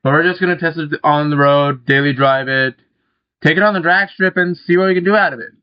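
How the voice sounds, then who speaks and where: A young man with a slightly high voice talks his way through a car-building game, loose and rambling, with casual swearing thrown in. The sound is dry and close, with a somewhat dull, muffled tone. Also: a little fast, no echo. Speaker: gaming channel host. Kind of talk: casual gameplay commentary.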